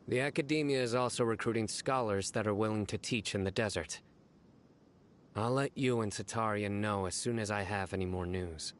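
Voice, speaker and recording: A man playing a stern, reserved young officer in a video game, terse, measured and deadpan. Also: fairly low pitch, slight background noise, lightly acted. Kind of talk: video game character acting.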